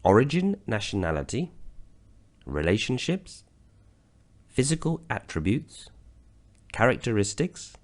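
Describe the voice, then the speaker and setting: A man from Britain narrating a slideshow grammar lesson, explaining slowly and deliberately. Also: lowish voice, big pitch swings. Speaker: language teacher.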